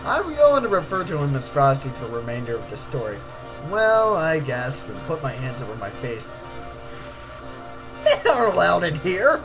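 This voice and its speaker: A man's voice: medium pitch, sing-song, normal speed, low-quality recording. Male narrator, comedic dramatic reading, first-person storytelling, prominent music behind the voice. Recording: loud background music.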